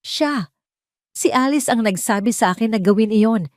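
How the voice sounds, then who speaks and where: Lady doing a guilty rich businessman begging his wife, sobby and pleading, voice pushed up high, audio drama. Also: moderate pace, darkish tone, dry recording, studio quality, close to an everyday voice. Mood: desperate blaming. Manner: tearful, pleading.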